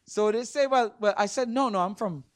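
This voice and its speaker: Emphatic Trinidadian preacher, dry sound. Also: male voice, high-pitched, moderate pace, no echo. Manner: emphatic, repeats words, swings loud and soft. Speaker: guest preacher. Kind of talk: sermon. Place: church sanctuary.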